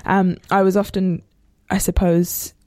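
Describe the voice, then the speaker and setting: A woman's voice: mid-range voice, level tone, unhurried, a little mellow, no room sound, studio quality. A woman from New Zealand with a New Zealand accent, interviewing in a casual, reflective way, rambling with many restarts and hedges.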